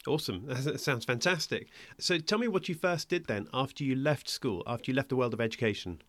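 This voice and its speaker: A man's voice: mid-range voice, expressive, a little fast, fairly bright tone. A man hosting a school podcast, reading a scripted introduction and asking questions in an upbeat way. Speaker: podcast host.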